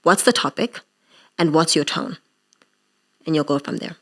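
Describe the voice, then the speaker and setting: A young woman with a deep voice teaching podcasting in a masterclass, earnest and direct, often repeating words as she thinks. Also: animated, normal speed, fairly bright tone. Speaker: podcasting instructor.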